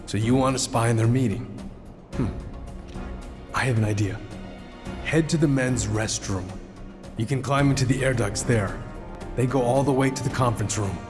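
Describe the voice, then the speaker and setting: A man acting as a world-weary company insider in a video game drama. His mid-pitched voice carries long reverb, with clear music behind it. He sounds pragmatic. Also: normal speed, pauses often, natural voice. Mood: dry, helpful. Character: world-weary male corporate insider, pragmatic reluctant helper.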